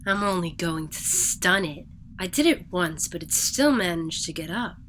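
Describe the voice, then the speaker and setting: A woman's voice: on the low side, lively intonation, normal speed, a bit bright, slight background noise. A woman voicing a hot-tempered, imperious alien woman in a science fiction audio drama. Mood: grudging, defensive. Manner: imperious, snappish. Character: hot-tempered, haughty Gargonian alien woman, hates animals.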